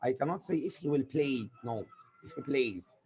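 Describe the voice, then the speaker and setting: A man's voice: mid-range voice, lively intonation, moderate pace, a little mellow, slight background noise. A man with an Egyptian accent, a native Arabic speaker, giving a grammar lesson as a teacher who asks and answers his own questions. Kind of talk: spoken lesson explaining with examples.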